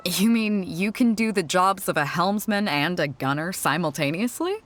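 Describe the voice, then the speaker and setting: A woman's voice: fairly low pitch, lively intonation, moderate pace, a little hiss or hum, close to an everyday voice. A woman voicing a bold, confident captain of an armed pirate fleet in a video game, with a commanding, teasing manner. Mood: firm, instructive. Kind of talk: video game character acting.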